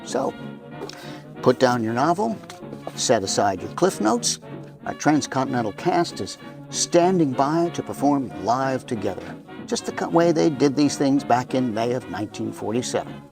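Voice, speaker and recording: A man's voice: medium pitch, sing-song, normal speed, creaky, over music. A man hosting with a chatty, semi-scripted introduction, wry and folksy, with small hesitations. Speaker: host.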